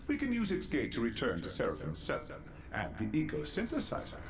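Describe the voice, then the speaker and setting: A man's voice: medium pitch, wildly swooping pitch, fairly quick. An actor playing an elderly, cerebral scientist father in a video game, speaking formally and with precise deliberation. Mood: measured, formal. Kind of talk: video game cutscene acting.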